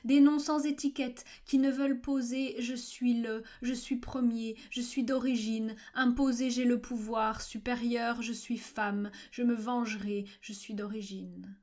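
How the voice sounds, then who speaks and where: A woman reciting literary poetry in measured, fragmented phrases with frequent pauses, at times breathy and near-whispered. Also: fairly high pitch, level tone, a little mellow. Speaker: poetry reader. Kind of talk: slow literary poetry recitation for a video poem.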